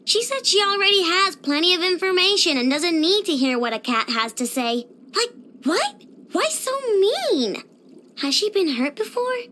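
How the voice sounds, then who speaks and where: A woman's voice: very high voice, expressive, fairly quick, hardly any pauses, sharp tone, slight background noise, heavily acted. A woman voicing a cheerful, proud young girl inventor in a video game, with a bubbly, boastful, childlike delivery. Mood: chatty, indignant. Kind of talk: scripted video game character voice lines.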